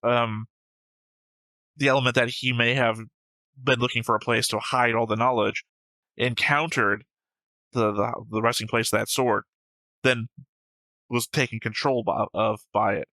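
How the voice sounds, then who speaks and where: A man's voice: medium pitch, fairly even intonation, a little slow, pauses often, pushed and bright, dry recording, low-quality recording. A man hosting a reaction show, talking casually and argumentatively with frequent swearing. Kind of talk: casual discussion, reacting to an animated series.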